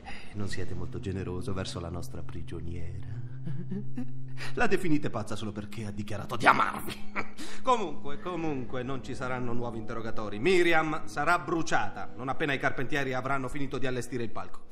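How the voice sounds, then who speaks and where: A man's voice: mid-range voice, lively intonation, brisk, lightly acted. An actor in an Italian radio drama playing a lewd, capricious king of Castile who chuckles and speaks with pompous teasing. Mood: mocking. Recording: noisy radio drama recording.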